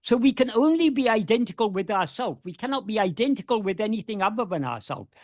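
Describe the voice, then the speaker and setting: A man explains a spiritual teaching over a video call in an earnest, searching way, often repeating words before going on. His voice sits high and swings widely in pitch. The sound is dry and close. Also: very animated, fast talker, darkish tone, dry recording. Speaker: spiritual teacher answering questions.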